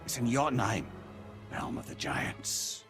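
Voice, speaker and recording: An actor playing a talkative, witty, cocky captive sage in a fantasy game, speaking like a theatrical storyteller. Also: male voice, medium pitch, animated, unhurried, a bit bright, faint music behind. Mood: theatrical, explaining.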